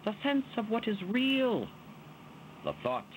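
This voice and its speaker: A man giving an expressive, dramatic poetry recitation in a theatrical manner, drawing out his words. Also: very high voice, slow pace, a little hiss or hum, rough recording. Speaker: reader of poetry.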